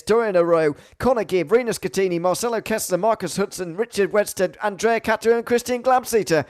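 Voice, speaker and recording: A man with a slightly high voice works as a live sim racing commentator and host. The sound is dry and close, and his delivery is a little stop-start. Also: darkish tone, dry recording.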